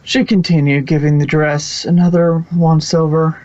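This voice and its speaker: A man's voice: a little high, level tone, normal speed, a little mellow, no room sound. A man reading fan fiction aloud as a scripted story narrator, sometimes stumbling and rereading words. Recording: slightly muffled recording.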